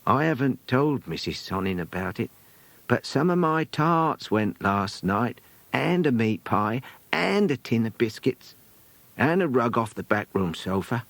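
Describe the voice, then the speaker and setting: A man's voice: mid-range voice, very animated, unhurried, creaky, fairly bright tone, dry recording, voice pushed up, full character voice. A British man voicing a loyal, earnest woman companion in an audiobook, emphatic and confiding in manner.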